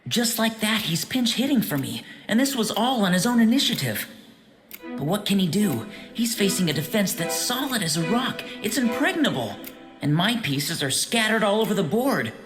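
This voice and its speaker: Woman doing a flustered anime student waiter, very animated pitch, music in the back. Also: normal speed, close to an everyday voice. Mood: anxious. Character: proud, flustered teenage student waiter and gambler. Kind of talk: anime audio drama dub with inner monologue. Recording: studio voice over clear background music.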